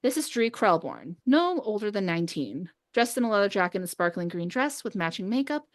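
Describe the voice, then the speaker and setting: A woman's voice: mid-range voice, sing-song, a little fast. A woman hosting a podcast, chatting casually with friends and reading out a script she wrote. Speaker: podcast host, writer and script reader. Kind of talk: casual chat with friends before a script read-through.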